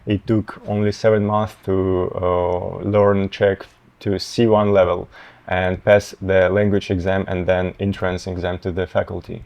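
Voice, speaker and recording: A young man in his twenties with a Russian accent, a medical student answering interview questions haltingly, often pausing to find words. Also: deep voice, level tone, creaky, dull audio. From Tatarstan, Russia. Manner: halting, searching for words, many fillers.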